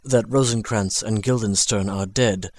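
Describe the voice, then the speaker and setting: A man's voice: on the low side, steady tone, a little slow. A man playing a dismayed foreign ambassador in a classical tragedy, formal and declamatory. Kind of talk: classical stage tragedy, dramatic audiobook reading.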